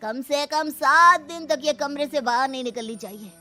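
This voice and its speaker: A woman's voice: high-pitched, moderate pace, sharp tone, soft background music, voice pushed up. A woman voicing a stern, domineering patriarchal uncle who scolds and commands in a moral story. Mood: angry, threatening. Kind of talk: Hindi moral story character voice.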